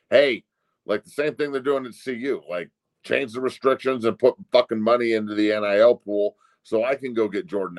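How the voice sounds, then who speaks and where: A man in his forties with an American accent, co-hosting a sports show with emphatic, profanity-laced casual commentary. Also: fairly low pitch. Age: 40s. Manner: emphatic, blunt, incredulous ranting.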